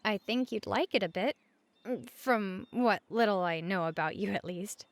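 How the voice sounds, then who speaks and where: A woman playing a friendly, reassuring roommate in a conversational comfort roleplay, speaking gently and casually at regular volume. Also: medium pitch, a little slow, dry recording, natural voice. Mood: reassuring, caring. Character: friendly, reassuring young female roommate offering company.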